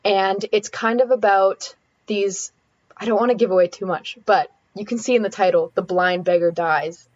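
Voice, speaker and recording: A woman's voice: medium pitch, fairly even intonation, normal speed. Hesitant teen girl, slightly muffled. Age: late teens. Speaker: high-school student actor. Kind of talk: casual interview answers.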